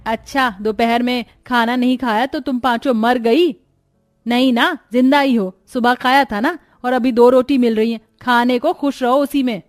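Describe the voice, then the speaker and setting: Lady doing a cartoon saas, middle-aged, stingy and naggy, highish voice, really dry recording. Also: fairly even intonation, moderate pace, no echo, soft background music, natural voice. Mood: bossy, scornful. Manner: sharp, bossy, nagging. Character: stingy, scolding middle-aged Indian mother-in-law. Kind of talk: Hindi cartoon story voice acting.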